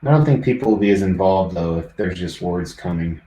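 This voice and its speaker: A man's voice: low-pitched, lively intonation, a little fast, dark tone, roomy sound, poor audio. A middle-aged man live streaming, chatting casually and rambling to his viewers.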